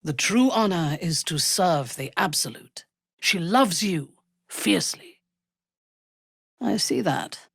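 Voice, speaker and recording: A woman playing a commanding, ruthless zealot warrior in a video game, speaking with a low husky voice and a measured, imperious, menacing manner. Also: lively intonation, a bit bright, no echo, studio quality, exaggerated voice. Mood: devout, intense. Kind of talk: video game character voice lines.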